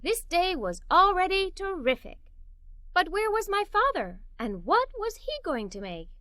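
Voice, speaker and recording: A woman tells a children's story in the first person as a young girl. Her voice is high and bright, with an expressive storyteller's delivery. The recording is close and dry. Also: a little slow, no echo. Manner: animated, theatrical, giggly storytelling delivery. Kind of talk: expressive scripted read-aloud for young children, told as a young girl.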